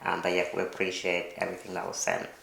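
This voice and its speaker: A man from Kenya co-hosting a relaxed chat, answering viewer questions with lots of fillers. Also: deep voice, fast talker, creaky, roomy sound, crowd noise. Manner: relaxed, conversational, with many fillers. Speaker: co-host.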